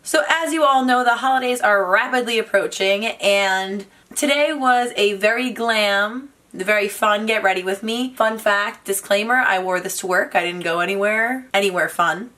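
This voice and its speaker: A young woman with a raspy voice and a slight cough, chatting casually to camera as a beauty vlogger, joking about herself. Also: mid-range voice, moderate pace, sharp tone. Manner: chatty, self-mocking. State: has a cough.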